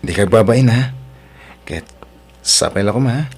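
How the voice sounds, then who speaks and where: A man plays a humble young Filipino boyfriend in an audio drama. His voice is on the low side and rough around the edges. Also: measured pace, darkish tone. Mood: apologetic. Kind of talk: Ilocano audio drama acting.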